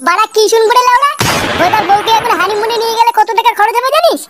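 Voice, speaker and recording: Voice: very high-pitched, racing, heavy background noise. A performer voicing a foul-mouthed, irritable, henpecked husband who grumbles constantly in a comic cartoon. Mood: grumbling.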